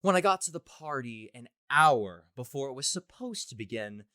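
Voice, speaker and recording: A man's voice: mid-range voice, sharp tone, no room sound, studio quality. A man playing a smug, deluded college student who thinks he is the Joker, in a pompous, theatrical story reading. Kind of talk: dramatic first-person story reading.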